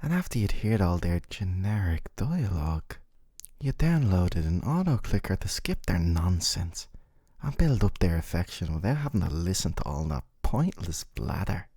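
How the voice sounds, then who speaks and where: Guy playing a smug clingy yandere boyfriend, gentle and coaxing, mellow voice, super close dry mic. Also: low-pitched, sing-song, moderate pace, husky, no room sound, heavily acted. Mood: adoring, smug. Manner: soft, coaxing, articulate, teasing tag questions. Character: possessive, gentle yandere dating-sim boyfriend, doting and smug. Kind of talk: scripted ASMR roleplay monologue to the listener.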